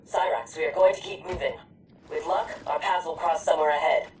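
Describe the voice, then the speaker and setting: An actress playing a decisive warrior squad leader with a brisk, commanding manner in a video game. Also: female voice, sits low, fairly even intonation, darkish tone, a little hiss or hum, close to an everyday voice. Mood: resolute. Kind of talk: dramatic video game voice acting.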